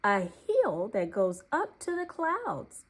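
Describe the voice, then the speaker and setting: A woman's voice: mid-range voice, huge pitch swings, slow pace, husky, heavily acted. A woman voicing an eager, adventurous little boy deer in a children's storybook. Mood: excited, eager. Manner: eager, exclaiming. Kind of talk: children's storybook read-aloud.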